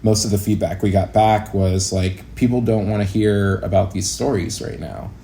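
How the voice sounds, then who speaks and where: Male podcast guest, low and mellow, reflective, informal delivery, faint background noise. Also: steady tone, moderate pace. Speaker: interview guest, actor-producer and educator. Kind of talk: casual podcast interview.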